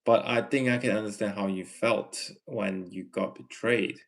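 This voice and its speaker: A young man from Malaysia hosting a casual livestream, reading viewer comments and giving earnest advice in a slow, halting way. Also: fairly low pitch, steady tone. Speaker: livestream host.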